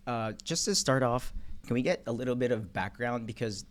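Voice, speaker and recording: A young man, hosting a podcast in a relaxed way with some hesitation and filler words. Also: medium pitch, normal speed. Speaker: podcast co-host, grad student.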